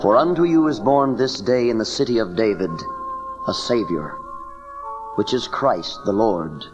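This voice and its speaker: Solemn male angel over loud music. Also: lowish voice, expressive, a little slow. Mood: solemn, reassuring. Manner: stately, proclaiming. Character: solemn, reassuring male angel announcing good tidings. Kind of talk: dramatized scripture declamation. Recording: dull cassette transfer, loud music behind.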